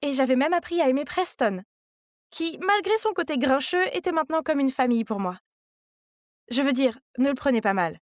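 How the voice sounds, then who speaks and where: A woman's voice: high voice, animated, brisk, no echo, clean studio sound. A woman reading a scripted first-person story in a confiding manner, as its young heroine. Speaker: narrator.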